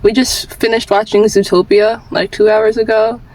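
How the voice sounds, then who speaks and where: A woman's voice: medium pitch, flat delivery, normal speed, darkish tone, rough recording. A twenty-year-old woman vlogging with candid personal advice in an informal, rambling, earnest way, repeating phrases for emphasis.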